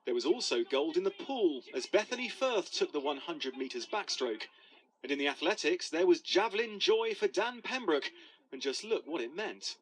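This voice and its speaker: A man delivers a scripted sports report as a TV correspondent. His voice is high, with lively, swooping intonation. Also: moderate pace, rough recording. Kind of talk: scripted news report narration.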